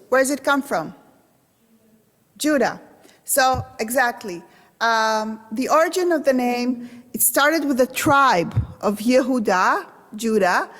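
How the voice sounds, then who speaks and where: A woman with a Russian and Israeli accent giving a conversational, interactive lecture to a congregation, asking the audience questions. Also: a little high. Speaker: guest lecturer. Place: synagogue hall. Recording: reverberant hall.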